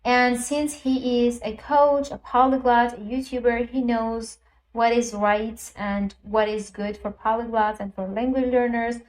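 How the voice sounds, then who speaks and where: Chatty woman with a higher voice, native Persian speaker. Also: little pitch movement, a little slow, a little mellow, rough recording. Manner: chatty, explains step by step with frequent ums and uhs. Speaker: language teacher and YouTuber. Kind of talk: casual screen-recorded app walkthrough.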